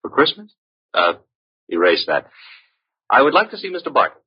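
Wisecracking gumshoe, American accent, lively swooping intonation, ironic banter, muffled vintage radio recording. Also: male voice, medium pitch, breathy edge, heavily acted. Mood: cool, teasing. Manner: breezy, ironic, quick-witted banter. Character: flippant, wisecracking, flirtatious male private detective's assistant. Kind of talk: 1940s-50s American radio drama acting.

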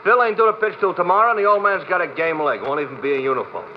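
An actor playing a chatty, folksy cab driver who repeats himself in slang, in an old radio drama. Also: male voice, sits high, rapid-fire, lightly acted. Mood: chatty, pestering. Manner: garrulous, repetitive, slangy working-class talk. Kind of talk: golden-age radio drama acting. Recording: muffled, hissy vintage radio recording.

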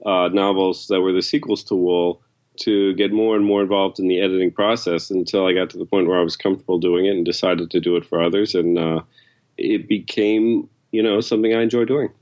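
Deep-voiced man giving casual interview answers. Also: steady tone, a little fast, darkish tone. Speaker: editor, podcast guest.

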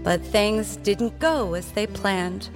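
An actress playing a measured fairy-tale narrator, reading scripted narration in an audio drama. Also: female voice, mid-range voice, animated, over music.

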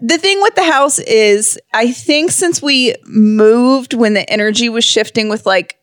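A woman's voice: a little high, measured pace, clear, resonant tone, no room sound, clean studio sound. A woman co-hosting a podcast in a casual, chatty style. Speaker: podcast co-host.

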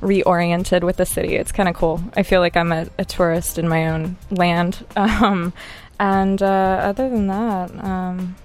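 A woman's voice: fairly low pitch, level tone, a little slow, hardly any pauses, faint music behind. A flustered woman contestant, laughing and hesitating with many fillers.

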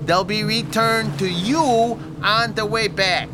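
Male, bossy staff supervisor in radio drama. High raised pitch, slow commanding delivery, engine noise behind. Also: steady tone. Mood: impatient, commanding. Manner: commanding, impatient. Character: bossy male catering boss barking orders at staff. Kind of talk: audio drama acting. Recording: noisy boat, raised voice.

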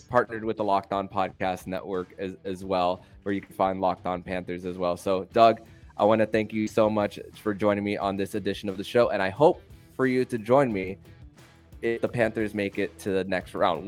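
A man's voice: fairly low pitch, a little mellow. A man hosting a sports podcast, presenting in a conversational way as he addresses a guest or the listeners. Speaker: podcast host.

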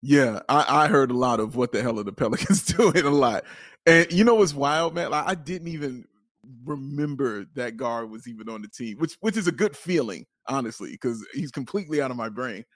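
A man's voice: mid-range voice, animated, a little fast, dry recording, studio quality. A man co-hosting a sports podcast with playful, emphatic, casual banter.

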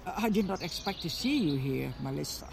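A woman's voice: fairly low pitch, animated, a little slow, gravelly, slight background noise. A woman playing a stern, disapproving middle-aged relative in an audio drama, curt and scolding. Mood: cold. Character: stern, disapproving female relative of a young soldier.

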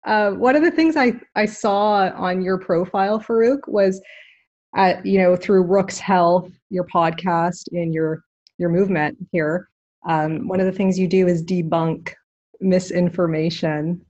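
A woman's voice: fairly low pitch, fairly even intonation, measured pace, darkish tone, muffled recording. A woman hosting a podcast, chatting with a guest in a friendly, encouraging way. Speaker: podcast host, physiotherapist. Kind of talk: casual interview chat.

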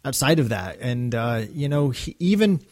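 A man's voice: medium pitch, lively intonation, moderate pace, a little mellow. A man co-hosting a podcast, recapping casually with a fan's enthusiasm. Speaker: podcast co-host.

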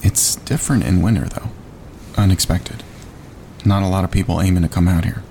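A man playing a steady, laconic wildlife photographer on a winter camping trip, understated and calm, in a naturalistic audio drama. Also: sits low, a little fast. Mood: calm, practical. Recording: clear outdoor ambience noise behind.

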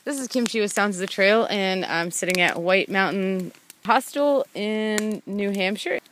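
A woman's voice: medium pitch, measured pace, fairly bright tone. Woman interviewer at a hostel, nervous and giggly, faint noise behind her. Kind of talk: casual field interview.

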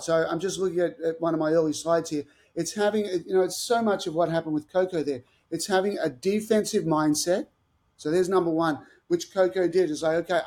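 An upbeat man with a fairly high voice talks through tennis tactics as a podcast guest. He explains eagerly and jumps between points. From Australia. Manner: enthusiastic, animated explaining. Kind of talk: podcast interview.

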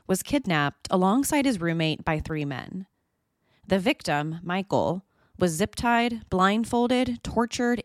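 A woman hosting a true crime podcast, telling the story in a measured, scripted narration. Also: lowish voice, dry recording, studio quality. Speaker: podcast host.

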